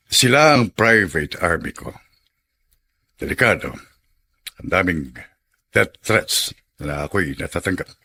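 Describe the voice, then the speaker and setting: A man's voice: sits low, lively intonation, slow pace, long pauses, bright, forceful tone, slightly rough, full character voice. A man with a Filipino accent playing a self-important, prosperous middle-aged congressman in a radio drama, assured and commanding. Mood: grave.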